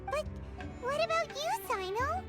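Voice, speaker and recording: A woman voicing a tiny, childlike, excitable fairy companion with a squeaky voice in an anime video game. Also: unhurried, loud music behind the voice. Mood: concerned.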